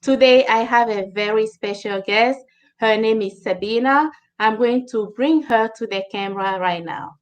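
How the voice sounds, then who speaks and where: A woman's voice: on the high side, normal speed. A woman hosting an online interview, introducing her guest and prompting friendly questions. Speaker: host.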